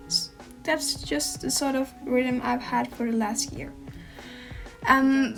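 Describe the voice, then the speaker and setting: Hesitant Norwegian teenage girl, soft music. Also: a little high, vocal fry. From Norway. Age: teens. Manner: rambling, hesitant, frequent ums. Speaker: art channel host. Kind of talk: casual chat to camera.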